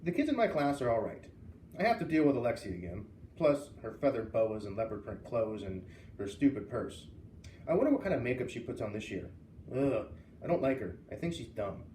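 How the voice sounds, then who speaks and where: A man's voice: mid-range voice, very animated, a little fast, dark tone, lightly acted. Man as a grumpy schoolboy, echoey room. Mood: sullen, dismissive. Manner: blunt, dismissive. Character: grumpy, sullen schoolboy who hates school. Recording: roomy, distant mic, faint noise.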